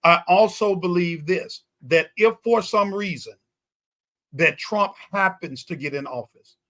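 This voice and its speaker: A man's voice: high-pitched, measured pace, fairly bright tone. A man speaking as a guest pastor in a talk-show discussion, emphatic and repetitive with a preaching cadence.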